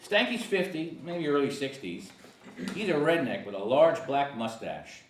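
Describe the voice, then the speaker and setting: A man's voice: on the high side, very animated, normal speed, distant mic. A man narrating stage directions and character descriptions at a screenplay table read. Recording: echoey room, off-mic, faint hiss.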